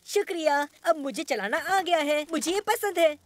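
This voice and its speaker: A woman's voice: high voice, animated, moderate pace. A woman voicing an eager, kind-hearted small boy superhero in a cartoon dub, earnest and spirited in manner. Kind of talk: animated cartoon dub acting. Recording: loud music under the voice.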